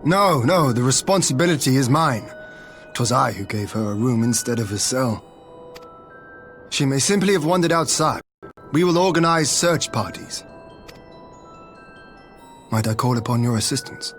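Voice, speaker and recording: A man's voice: medium pitch, expressive, normal speed, long pauses, soft background music, lightly acted. Composed, courtly lord, played by a man. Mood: earnest resolve. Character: noble, dutiful young lord, calm and self-blaming. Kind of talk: dramatic video game voice acting.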